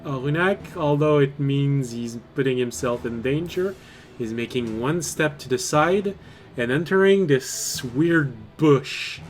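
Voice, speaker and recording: A man narrating a tabletop game as game master, in a halting, deliberate way. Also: mid-range voice. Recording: music behind. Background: background music.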